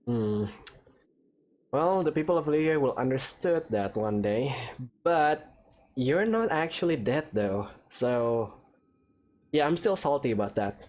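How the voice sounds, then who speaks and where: A man's voice: medium pitch, expressive, takes it slowly, pauses often, darkish tone. A man hosting a game playthrough, reading dialogue choices and reacting in an offhand, hesitant way. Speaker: gaming video host.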